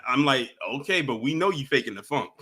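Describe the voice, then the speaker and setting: A man's voice: mid-range voice, animated, a little fast, bright, forceful tone, slightly rough. A man hosting a casual talk show, chatting with his guests. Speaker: host.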